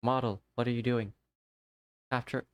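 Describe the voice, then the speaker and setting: A man's voice: medium pitch, steady tone, moderate pace, dry recording. A man streaming a game live, chatting casually with viewers and talking to himself as he plays. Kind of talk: casual live-stream chat while gaming.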